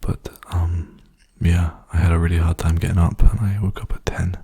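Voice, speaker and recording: A young man speaking softly in a hushed, breathy, soothing whisper, rambling casually for an ASMR video. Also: low-pitched, little pitch movement, normal speed. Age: young adult.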